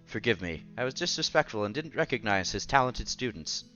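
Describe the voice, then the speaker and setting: A man acts out an effusive scholarly gentleman from a visual novel. He speaks formally and flatteringly, in a bright, forceful voice over music, with slightly dull sound. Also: mid-range voice, fairly quick. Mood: effusive, courteous. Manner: formal, polite, eager to flatter.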